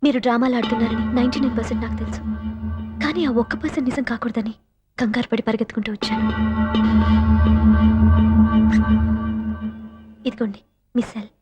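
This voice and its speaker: An actress playing a feisty, sharp-tongued young woman in a Telugu comedy film, assertive and quick to retort. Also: on the high side, fairly even intonation, over loud music, natural voice. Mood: firm. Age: young adult.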